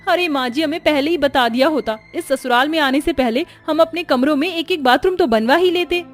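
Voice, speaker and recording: A woman's voice: high voice, brisk, soft background music, lightly acted. A woman voicing a haughty, indignant young rich daughter-in-law in a cartoon story, scornful and complaining.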